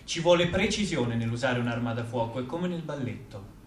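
A man's voice: mid-range voice, expressive, fairly quick, a little hiss or hum, close to an everyday voice. An actor playing a disciplined, detached gunman who recalls military training in a precise radio theatre monologue.

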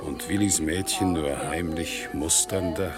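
An actor playing a proud, dutiful one-legged war veteran who works as an organ grinder, speaking in a solemn, deferential way in a radio play. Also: male voice, low voice, a little slow. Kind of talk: 1960s radio play acting. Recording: faint music behind, older radio play recording.

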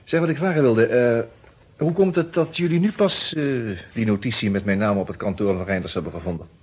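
An actor playing a curious, mildly sceptical lawyer in a radio play, husky, informal and inquisitive. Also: male voice, mid-range voice, fairly quick, lightly acted. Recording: dull old radio recording.

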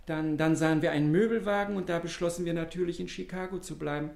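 A meek, superstitious small-time crook in an old radio satire. His male voice sits fairly high, and he stammers politely and hesitantly, with a wily streak underneath. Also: steady tone, fast, gravelly, darkish tone. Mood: nervous, apologetic. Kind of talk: 1950s German radio satire acting.

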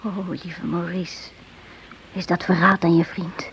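A woman's voice: fairly low pitch, level tone, takes it slowly, creaky, a bit bright, a little hiss or hum, full character voice. An actress playing an anguished, tender sister caring for her wounded brother in a Dutch radio drama. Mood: tender, sorrowful. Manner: emotional, reproachful, pleading.

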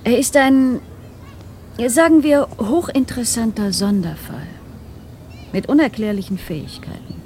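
An actress playing a self-assured, probing neurologist in a radio drama, articulate and wry. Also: female voice, a little high, measured pace, pauses often, darkish tone, a little hiss or hum, natural voice. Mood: explanatory, earnest. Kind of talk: 1990s German radio drama acting.

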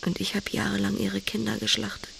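An actress playing a blunt, tender pig-farming countrywoman in an audio drama, often speaking in a hushed, intimate half-whisper. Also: mid-range voice, little pitch movement, fairly quick, creaky, a little hiss or hum. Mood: hushed, reflective. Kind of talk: naturalistic audio drama acting.

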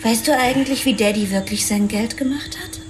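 Actress doing a secretive rich girl lover in a radio drama, highish voice, confiding, music behind. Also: brisk, lightly acted. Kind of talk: intimate radio drama dialogue.

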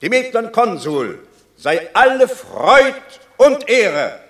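An authoritative Roman senator who speaks slowly and formally, with pitch that swings widely for emphasis. A roomy studio reverb surrounds his voice, as in an old radio play. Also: sing-song, a bit bright, full character voice. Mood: formal command. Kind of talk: classic stage radio drama.

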